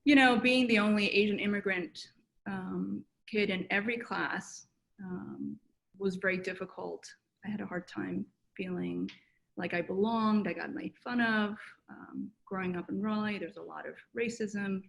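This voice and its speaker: A woman psychotherapist from South Korea, answering interview questions thoughtfully over a video call, with many pauses and fillers. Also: mid-range voice, creaky, low-quality recording. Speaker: guest psychotherapist. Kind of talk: reflective personal interview answers.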